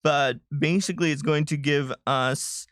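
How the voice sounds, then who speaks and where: A man's voice: fairly high pitch, steady tone, unhurried, fairly bright tone, no echo, studio quality. A man chatting casually as a livestream co-host, thinking aloud as he explains tabletop game rules and works through numbers. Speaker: game designer co-hosting a livestream.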